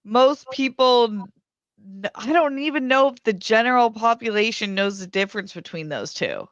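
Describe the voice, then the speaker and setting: A woman's voice: on the high side, lively intonation, normal speed, no room sound, dull audio. A woman co-hosting a casual podcast, thoughtful and a little hesitant. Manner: thoughtful, hesitant, easygoing agreement. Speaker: podcast co-host.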